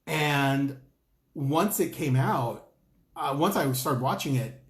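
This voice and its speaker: A man co-hosting a casual talk show, chatty and playful, breaking into high exclamations. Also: expressive, takes it slowly, roomy sound. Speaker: co-host. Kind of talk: casual chat.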